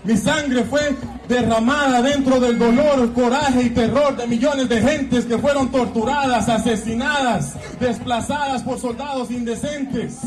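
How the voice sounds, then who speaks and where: A man's voice: very high voice, a little slow, rough recording. A man from Guatemala performs spoken-word poetry live on stage, in an impassioned, rhythmic, declamatory delivery. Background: music.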